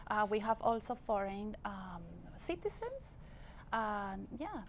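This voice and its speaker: A woman's voice: mid-range voice, very animated, slow pace, slight background noise. A woman in her thirties from Colombia, a native Spanish speaker with a Colombian Spanish accent, patiently explaining things as a guest in an interview with frequent fillers. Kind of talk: casual interview talk.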